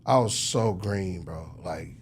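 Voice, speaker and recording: A middle-aged American man telling his story casually as an interview guest, in slangy conversational speech with frequent throat clearing. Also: deep voice, takes it slowly, a little hiss or hum. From United States, Chicago. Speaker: interview guest, record executive.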